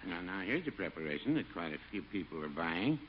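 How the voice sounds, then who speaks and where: A man's voice: sits low, big pitch swings, fairly quick. An actor playing a mild, folksy small-town druggist with droll salesman patter in 1950s radio comedy. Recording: dull, noisy old radio with audience.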